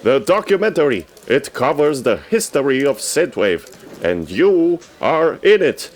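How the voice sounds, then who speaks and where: A man playing an eager radio correspondent reporting from a red carpet in a comedy sketch, formal and theatrically excited. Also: on the high side, a little slow. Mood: earnest.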